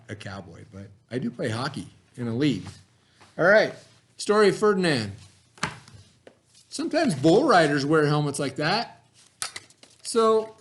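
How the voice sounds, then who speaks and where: A man's voice: fairly high pitch, slight background noise. A man hosting a read-aloud, telling a children's story expressively with informal asides to his listeners.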